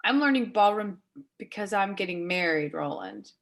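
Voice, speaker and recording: Animated, awkwardly blunt woman played by an actress. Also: mid-range voice, vocal fry. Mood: startled, guarded. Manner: halting, dry, tactless. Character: blunt, awkward, teasing woman, nervously polite. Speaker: amateur or local theatre actor. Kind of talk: naturalistic dramatic reading of a stage dialogue.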